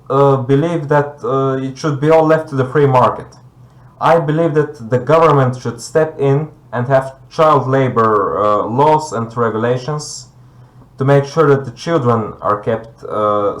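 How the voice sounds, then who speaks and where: A man speaks as a debate guest at a middling pitch. His delivery is halting as he searches for words, and the room around him sounds quite echoey. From Bulgaria. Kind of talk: conversational debate.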